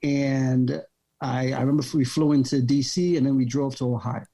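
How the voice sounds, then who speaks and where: A man from Cameroon, a guest on a podcast, tells his story in a reflective, halting way. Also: mid-range voice, fairly even intonation, gravelly, warm tone. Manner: reflective, halting, with many fillers and restarts. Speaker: guest, student advisor. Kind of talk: conversational storytelling.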